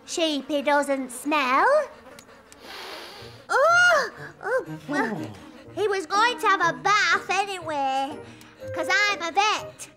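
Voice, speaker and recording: Excitable, high-voiced little princess. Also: female voice, takes it slowly, pauses often, heavily acted. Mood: bossy, excited. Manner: bossy, emphatic, childlike. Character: excitable, stubborn little princess girl playing vet. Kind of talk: children's cartoon voice acting. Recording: music behind the voice.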